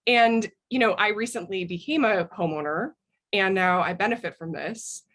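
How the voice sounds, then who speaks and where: A woman's voice: mid-range voice, moderate pace, fairly bright tone. An American woman talking as a podcast guest, making her points in an informal, emphatic way. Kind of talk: casual opinionated discussion.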